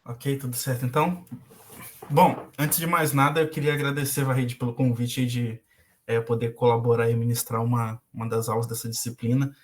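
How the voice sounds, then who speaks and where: Brief: young adult Brazilian man, guest lecturer on a video call, medium pitch, hesitant and full of fillers. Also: flat delivery, fairly quick. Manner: hesitant, frequent filler "é" and restarts. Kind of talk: casual online lecture.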